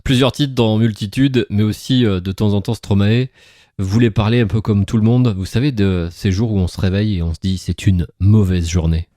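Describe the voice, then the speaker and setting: A male radio presenter with a deep, mellow voice, recorded close and dry. He explains things conversationally and addresses the listener directly. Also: steady tone, normal speed, no echo. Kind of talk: conversational radio presentation linking interview clips.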